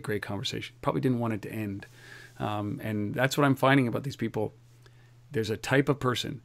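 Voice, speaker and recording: A man hosting a tech podcast, talking in a lively, energetic way. He often repeats the first words of a sentence before he settles into it. His voice sits at an ordinary middle pitch. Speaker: podcast host. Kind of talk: casual podcast chat.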